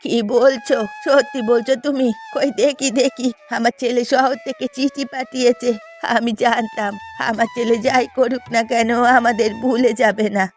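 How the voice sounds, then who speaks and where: A woman's voice: on the high side, little pitch movement, moderate pace, close to an everyday voice. A woman voicing a doting, forgiving older village mother and wife in a Bengali cartoon. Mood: surprised. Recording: music behind the voice.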